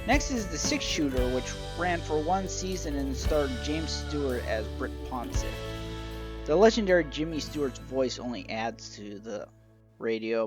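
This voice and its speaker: Young adult man, mid-range voice, measured scripted show-and-tell narrator, light music underneath. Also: mid-range voice. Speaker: collector reviewing a radio show collection.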